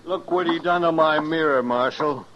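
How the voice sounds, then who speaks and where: A man's voice: a little high, moderate pace, lightly acted. Guy playing a scrappy american saloon bartender, mid voice, dull noisy vintage radio recording. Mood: irritated. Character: gruffly combative frontier saloon barkeep. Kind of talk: 1950s radio western acting. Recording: muffled, noisy old 1950s radio recording.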